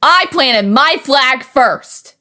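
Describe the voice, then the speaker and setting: A woman's voice: high voice, lively intonation, very slow, bright, forceful tone, exaggerated voice. A woman playing a brash, domineering pegasus military commander in a cartoon audio drama, boastful and scornful.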